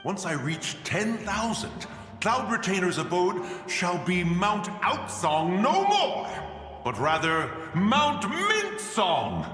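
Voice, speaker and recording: A man's voice: high voice, big pitch swings, takes it slowly, a bit bright, echoey room, in a large hall, faint music behind, full character voice. A man voicing a manic, mischievous immortal adept in a video game, theatrical and pompous with archaic diction. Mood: gleeful. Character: manic, mischievous male immortal adept obsessed with planting mint.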